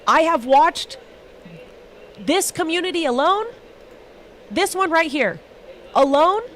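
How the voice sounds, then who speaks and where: A woman's voice: extremely high pitch, expressive, slow, a little hiss or hum. A woman hosting a livestream panel, talking bluntly and emphatically and swearing constantly in casual rants. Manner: blunt, heavily profane, emphatic.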